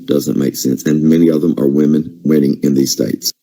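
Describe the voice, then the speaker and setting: Man commentator losing his voice, incredulous and rhetorical; extremely deep, very dark tone. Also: level tone, normal speed, husky. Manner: incredulous, rhetorical, lists numbers. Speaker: political commentator. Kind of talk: casual commentary.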